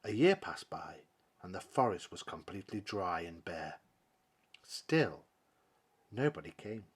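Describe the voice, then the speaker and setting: A man reading a children's picture book aloud as a dramatic narration, with theatrical delivery and emphatic pauses. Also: sits low, big pitch swings, breathy edge. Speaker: storybook reader.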